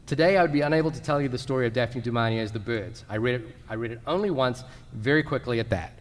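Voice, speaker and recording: A man's voice: mid-range voice, fast. A man co-hosting a live podcast panel, agreeable and conversational, recorded in a convention hall. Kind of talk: casual live panel discussion. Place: large indoor convention panel room with live audience.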